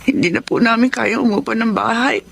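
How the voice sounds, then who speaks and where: A woman's voice: fairly high pitch, a little fast, gravelly, slight background noise. An actress playing a poor, homeless mother in a Filipino radio drama, speaking in a plaintive, polite, emotional way. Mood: humble sorrow. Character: sorrowful, poor homeless mother, humble and weary.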